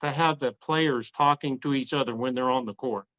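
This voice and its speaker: A man's voice: medium pitch, moderate pace, fairly bright tone. A man hosting a podcast and trading coaching stories, acting out what he said to players. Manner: tells stories, acting out his own quotes. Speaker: podcast host, former assistant coach. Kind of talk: casual interview talk. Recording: slightly dull lo-fi mic.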